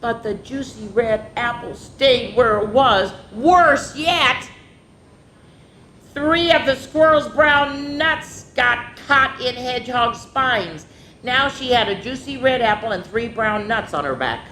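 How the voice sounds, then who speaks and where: A woman's voice: takes it slowly, fairly bright tone. A woman hosting a children's story time, reading a picture book expressively, drawing out words and adding casual asides. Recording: echoey room with faint rumbling noise.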